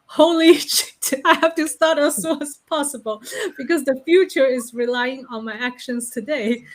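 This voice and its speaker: Woman, co-host, candid, laughs often, casual podcast chat, somewhat high voice. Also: normal speed. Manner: candid, laughs while talking.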